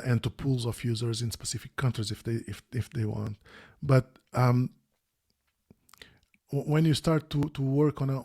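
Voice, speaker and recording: A man's voice: medium pitch, creaky, darkish tone. A man, a guest on a video call interview, speaking thoughtfully with many restarts and fillers. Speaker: interview guest, former search quality analyst.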